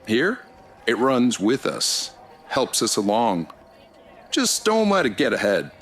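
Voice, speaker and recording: A man playing a folksy small-town race organizer in an audio drama, friendly but quietly ominous, with homespun, cryptic hospitality. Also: mid-range voice, wildly swooping pitch, slow, slight background noise, heavily acted.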